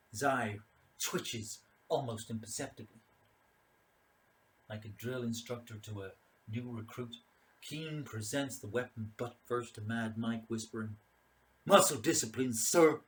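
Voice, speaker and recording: Bright-voiced man narrating a dramatic story. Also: medium pitch, measured pace, pauses often, low-quality recording. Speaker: story reader.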